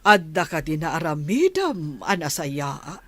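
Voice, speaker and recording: Actress doing a suspicious scolding mom, slow n very expressive, dry sound, radio drama. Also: female voice, sits low, no echo, full character voice. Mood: suspicious, admonishing. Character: questioning mother wary of her scheming son.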